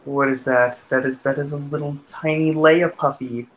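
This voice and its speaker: A man's voice: on the high side, steady tone, normal speed, fairly bright tone, slight background noise. A man in a casual voice chat, correcting his friends' grammar in a pedantic way.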